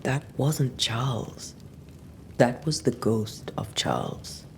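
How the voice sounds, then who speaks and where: An actress playing a resolute, stern woman who banishes ghosts in a video game, speaking with measured firmness. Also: extremely low pitch, very animated, creaky, a little hiss or hum, full character voice. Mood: serious, tender. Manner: measured, firm. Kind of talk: dramatic video game acting.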